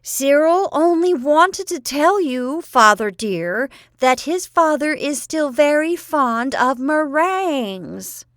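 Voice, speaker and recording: A prim, anxious spinster daughter, as a woman reading a story puts her on, speaking in a raised, careful voice. She is bright and proper. The recording is dry and close. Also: mid-range voice, animated, normal speed, no room sound. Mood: bawling. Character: fussy, anxious middle-aged spinster daughter.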